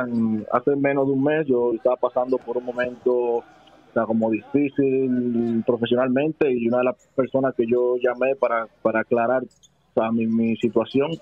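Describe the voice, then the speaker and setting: A young Dominican man, a basketball player, answering interview questions in a small studio, with many fillers and restarts. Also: mid-range voice. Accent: Dominican Spanish. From Dominican Republic. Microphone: headset and desk mic. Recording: dry, phone-like narrow sound.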